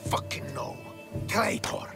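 An actor playing a bitter, proud young Spartan commander in a video game, speaking in a scornful, commanding, clipped way. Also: male voice, slow, music in the background, lightly acted. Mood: angry, accusing. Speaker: military commander. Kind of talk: dramatic video game cutscene acting.

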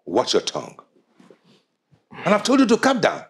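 A commanding Nigerian father in a film drama. His voice is low and husky, with an unhurried, deliberate delivery that swings in pitch for emphasis. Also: big pitch swings, exaggerated voice. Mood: stern. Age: middle-aged or older. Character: stern, authoritative father, measured and wise. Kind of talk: screen drama acting.

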